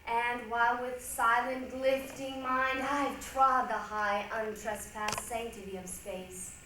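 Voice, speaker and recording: A woman giving a theatrical, deliberate dramatic narration as a readers' theatre performer. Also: fairly high pitch, fairly even intonation. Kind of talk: dramatic recited stage narration. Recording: very echoey hall, distant mic, faint noise.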